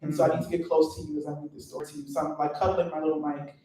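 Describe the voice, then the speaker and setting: A woman who is a poet and teacher, speaking on a live panel, excitable and quick to laugh as she tells stories. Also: deep voice, a little hiss or hum. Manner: excitable, laughing, gesturing storyteller. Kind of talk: panel talk. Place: event venue, live panel. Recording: very echoey, distant from the mic.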